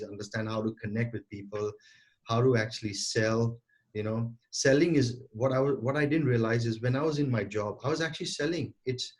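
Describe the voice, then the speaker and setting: A man in his forties, a guest entrepreneur answering interview questions earnestly over a remote call, often repeating and restarting words. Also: medium pitch. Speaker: guest entrepreneur and sales coach.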